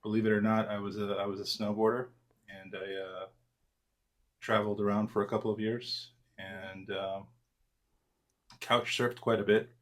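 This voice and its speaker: A man in his forties, born in Argentina, answering interview questions in a relaxed, self-deprecating way with many fillers and restarts. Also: on the low side, normal speed, pauses often. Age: 40s. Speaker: trader, interview guest.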